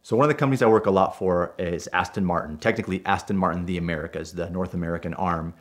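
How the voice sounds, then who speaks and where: A man, a native Spanish speaker, working as a driving instructor and telling a story in a casual, animated way. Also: low-pitched, fast talker, faint music behind.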